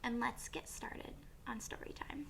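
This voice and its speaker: A woman reading a picture book aloud to young children as a teacher would, expressively, with pauses and questions for her listeners. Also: a little high, steady tone, creaky, whispered.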